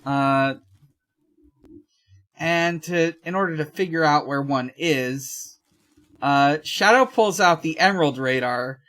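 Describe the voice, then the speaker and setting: A podcast host with an unhurried, slow pace and a medium-pitched voice. The delivery is casual and low-key, halting along through a recap as if chatting with friends. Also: a bit bright. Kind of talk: casual comic recap chat.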